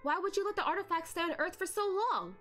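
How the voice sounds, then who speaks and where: A woman voicing a sharp-witted, teasing young male investigator who questions pointedly. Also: very high voice, sing-song, fast, faint music behind, voice pushed up, heavily acted. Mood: pressing.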